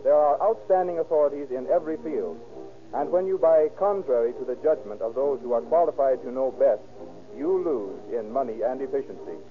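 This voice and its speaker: A man's voice: mid-range voice, lively intonation, brisk. An American man reading scripted announcements and narration in an emphatic 1930s radio announcer style. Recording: tinny 1930s radio recording, music behind.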